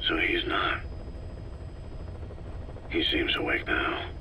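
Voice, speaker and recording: A man acting a terse, gruff, war-weary middle-aged mercenary commander in a video game, wry and low-key. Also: slow, pushed and bright, a little hiss or hum, full character voice. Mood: guarded.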